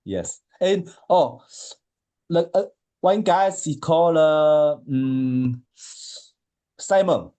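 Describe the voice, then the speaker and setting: A man with a Chinese accent answers interview questions, pausing often to search for his English words. He speaks at an unhurried, slow pace. His voice has a dark, mellow tone. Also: fairly high pitch, animated. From China. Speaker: interview guest, marathon swimmer.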